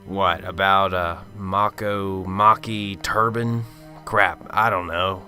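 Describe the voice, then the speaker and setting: A man's voice: sits low, steady tone, slow pace, sharp tone, faint music behind, full character voice. A man with a Chicago accent playing a cynical, sarcastic detective in an amateur radio drama, with a dry delivery.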